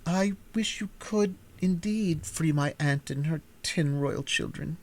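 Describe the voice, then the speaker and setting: A man voicing a vain, haughty princess who flies into fury, in a storybook reading. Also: takes it slowly. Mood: eager. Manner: shrill, imperious outbursts.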